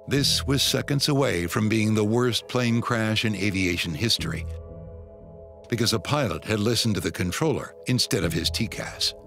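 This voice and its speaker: A man's voice: lowish voice, fairly bright tone. A man reading scripted, dramatic narration as the narrator of a documentary. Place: voice-over booth. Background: background score music.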